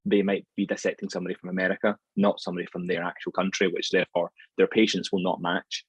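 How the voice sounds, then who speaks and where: A man in his thirties with a Scottish accent, answering interview questions in a relaxed, rambling way with frequent ums. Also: low-pitched, quick pace, husky, dry recording. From Scotland, near Glasgow. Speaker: anatomy lecturer, podcast guest.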